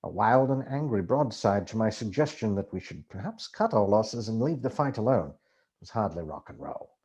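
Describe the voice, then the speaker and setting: A man reading a book aloud as expressive, theatrical first-person narration. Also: lowish voice, moderate pace, dull audio. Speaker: amateur audiobook reader.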